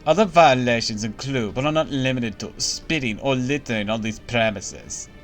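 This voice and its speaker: A man's voice: medium pitch, normal speed, natural voice. A man voicing a vain, imperious empress with a put-on German accent, haughty and pompous, an impish and cruel grown woman, with faint game music behind. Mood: imperious, threatening. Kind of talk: voicing game dialogue on a stream.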